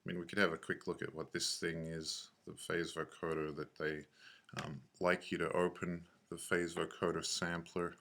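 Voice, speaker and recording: A man giving an unscripted software tutorial, casual and halting, thinking aloud and correcting himself as he goes. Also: low-pitched, flat delivery. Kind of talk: unscripted screencast tutorial narration.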